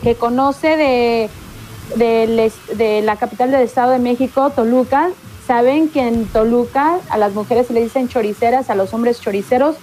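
A middle-aged woman from Mexico, a singer-songwriter, chatting casually in an interview and often pausing to search for words. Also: a little high, warm tone, rough recording.

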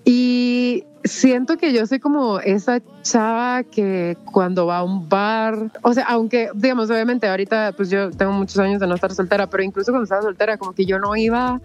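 A woman's voice: mid-range voice, moderate pace, few pauses, darkish tone. A young woman from Costa Rica, a singer talking on a phone line in a casual interview, chatty and giggly with lots of fillers. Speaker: singer, guest.

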